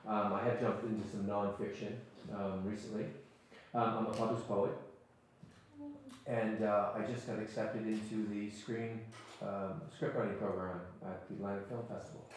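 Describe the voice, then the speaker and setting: A man's voice: lowish voice, little pitch movement, moderate pace. A man chatting casually as a fellow writer at a workshop, friendly and rambling. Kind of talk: casual chat. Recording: echoey room, distant mic, faint noise.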